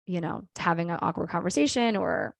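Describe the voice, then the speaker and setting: Reflective low-voiced woman co-host. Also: very animated, creaky, no echo, studio quality. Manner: reflective, measured, thinks aloud. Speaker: podcast co-host. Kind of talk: casual chat.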